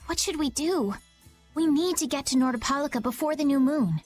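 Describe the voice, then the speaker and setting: A woman playing a gentle, polite young noblewoman in a video game, earnest and anxiously questioning. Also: high voice, brisk, soft background music, close to an everyday voice. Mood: worried. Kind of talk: video game cutscene voice acting.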